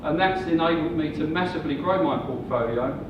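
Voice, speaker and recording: A man in his sixties giving a measured, slow sales presentation about property investing. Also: on the high side. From England. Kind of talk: seminar presentation. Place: hotel function room. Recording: muffled, distant, echoey room with noise.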